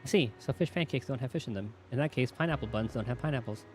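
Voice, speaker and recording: A man voicing a stoic, earnest young woman from a video game, speaking formally and deadpan. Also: mid-range voice, fast talker, soft background music, lightly acted.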